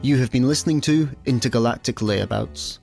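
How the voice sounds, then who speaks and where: Scottish male narrator, an actor, deadpan, scripted comedy, mid-range voice, clearly audible music underneath. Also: medium pitch, brisk, lightly acted. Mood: wry. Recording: music behind the voice.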